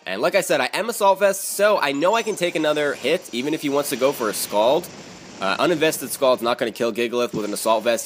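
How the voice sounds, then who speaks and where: Male gamer, battle commentary voice-over, mid-pitched, leans on repeated phrases for emphasis, music underneath. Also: a bit bright. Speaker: gamer narrating own battle video. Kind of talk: video game battle commentary.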